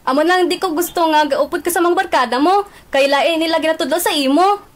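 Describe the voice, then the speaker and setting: A woman's voice: very high voice, fairly quick. A woman voicing a mother who scolds her daughter and brushes off her complaint, in a student radio drama. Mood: scolding.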